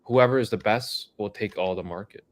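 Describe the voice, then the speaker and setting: Frank man with a low voice. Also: fairly quick. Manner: frank, motivational, tough-love. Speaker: co-host answering chat questions. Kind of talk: casual live-stream chat.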